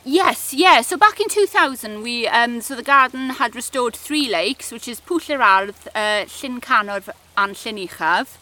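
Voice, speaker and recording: Bright-voiced Welsh woman, chatty. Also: fairly high pitch, animated, measured pace, hardly any pauses. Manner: chatty, many fillers like "kind of". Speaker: project officer. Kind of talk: casual interview chat.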